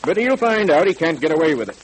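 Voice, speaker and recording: An actor playing a kindly, protective Mountie captain in a 1930s radio drama, hearty and avuncular. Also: male voice, fairly high pitch, very animated, fast talker, low-quality recording. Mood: genial. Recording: hissy 1930s radio recording.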